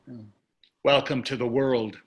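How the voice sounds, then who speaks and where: A man's voice: medium pitch, steady tone, measured pace, close to an everyday voice. An actor reading the part of a blasé, privileged husband in his sixties in a staged play, with dry, dismissive remarks.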